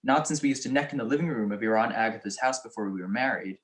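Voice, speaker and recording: An actor playing a grumpy, wisecracking married gentleman sleuth with sardonic wit in a radio comedy-mystery. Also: fairly low pitch, fast talker, lightly acted. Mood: brisk, wry.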